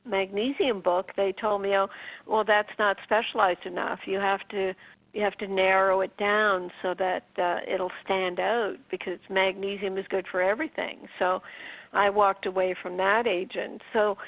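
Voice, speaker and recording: A woman from Newfoundland talks a caller through advice in a brisk, practical way. Her pitch stays flat and even. The sound is dry and close, with a dull lo-fi radio tone. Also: no echo. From Canada, Newfoundland. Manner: brisk, advising, many restarts. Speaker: radio show host. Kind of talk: casual call-in radio advice.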